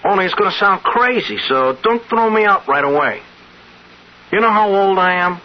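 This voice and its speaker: A man's voice: high-pitched, expressive, normal speed. An actor playing an eager, pushy man of twenty-six in a 1970s radio drama, speaking with urgent insistence. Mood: urgent, persuasive. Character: eager, pushy twenty-six-year-old man striking strange bargains. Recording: muffled vintage radio broadcast.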